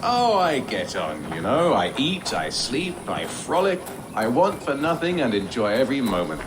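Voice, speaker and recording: Whimsical, swooping talking elk spirit. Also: male voice, mid-range voice, huge pitch swings, normal speed, noisy background. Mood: carefree, teasing. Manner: playful, sing-song, rhetorical. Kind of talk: video game acting.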